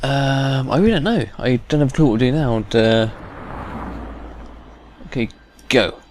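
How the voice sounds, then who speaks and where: A man's voice: medium pitch, expressive, normal speed, a little mellow, slight background noise. A man giving informal, unscripted let's play commentary, thinking aloud as he goes. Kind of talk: casual unscripted game commentary. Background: game music.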